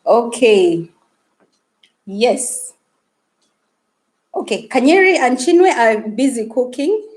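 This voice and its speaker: Woman, Luganda speaker, live-stream host, chatty and a touch high-pitched. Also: sing-song, takes it slowly, a little mellow. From Uganda. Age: adult woman, married. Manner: chatty, greets and reads viewer comments aloud. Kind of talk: casual live-stream chat.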